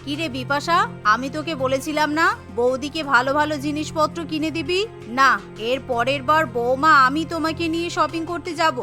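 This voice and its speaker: Bossy middle-aged cartoon matriarch, female performer, scolding, high voice over music. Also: brisk, lightly acted. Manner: commanding, scolding. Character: imperious middle-aged Bengali mother-in-law, bossy matriarch. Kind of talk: cartoon character dialogue.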